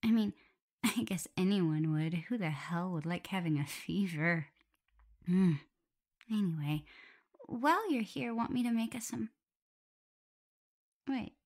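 A woman playing a caring, flustered young omega werewolf in an intimate ASMR roleplay, soft and often breathy and whispery, fussing as she talks. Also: lowish voice, expressive, a little slow, long pauses. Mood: relaxed. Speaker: audio roleplay performer.

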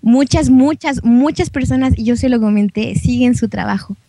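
Young mexican lady guest, highish voice, super into it, casual chat, dry close sound. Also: normal speed, creaky, dark tone, no room sound.

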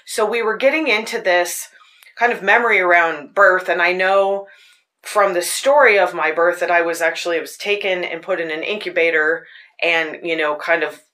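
A woman with a fairly low voice hosting a podcast, telling personal stories in an earnest, searching way. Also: normal speed, bright, forceful tone. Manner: earnest, personal storytelling with frequent restarts. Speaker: podcast host. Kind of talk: casual spoken reflection. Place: Tucson.

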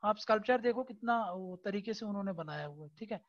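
A man teaching an exam-prep lesson over a screen recording, explaining slowly with many hesitation fillers and constant checks that his students understand. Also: sits high, animated, dull audio. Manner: keeps checking understanding with tag questions.

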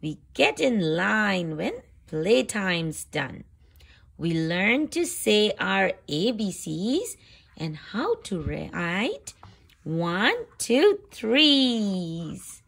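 Slow, animated woman reading to children. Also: medium pitch, vocal fry. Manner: slow, deliberate phrasing with animated emphasis for young listeners. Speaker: host reading picture books aloud to children. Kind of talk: expressive children's read-aloud.

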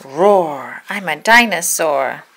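A woman voicing a small, excitable storybook dinosaur who roars loudly and boastfully. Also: low-pitched, slow, vocal fry, pitched below the performer's own voice, heavily acted. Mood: boisterous. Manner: loud, boastful, exclamatory roaring. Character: small excitable red cartoon dinosaur who loves roaring. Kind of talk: children's storybook read-aloud.

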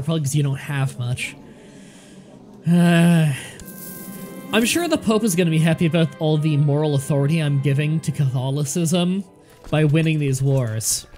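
A man with a nasal voice, a bit more congested than usual, keeps up a running, easygoing commentary on his strategy game. His voice sits a little higher than most men's and he often talks straight to the characters on screen. Soft music plays faintly behind him. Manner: chatty, thinks aloud, talks to game characters. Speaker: let's play commentator. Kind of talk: casual gameplay commentary.

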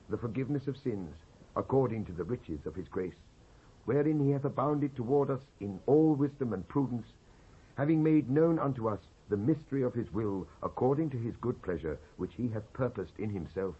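A man's voice: medium pitch, breathy edge. A British man giving a solemn, declamatory dramatised reading from the Bible. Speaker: Bible reader. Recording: muffled old cassette transfer.

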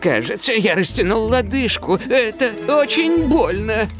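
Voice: medium pitch, very animated, measured pace. An actor playing a grumpy, scheming male cartoon villain with a theatrical, muttering and whining manner. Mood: whining, pained. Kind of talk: children's cartoon dubbing. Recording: voice over prominent background music.

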